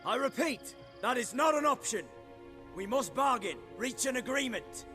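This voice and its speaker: A man's voice: extremely high pitch, animated, normal speed. A man playing an embattled guard captain in a fantasy video game, formal and emphatic, commanding yet anxious. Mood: tense bargaining. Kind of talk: cinematic game dialogue. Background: music.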